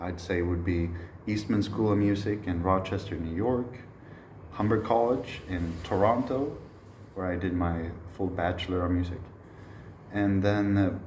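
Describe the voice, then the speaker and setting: A man in his thirties from Sweden, a musician giving a relaxed spoken masterclass with frequent pauses to gather his thoughts. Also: low-pitched, roomy sound, a little hiss or hum. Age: 30s. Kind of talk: informal spoken lecture.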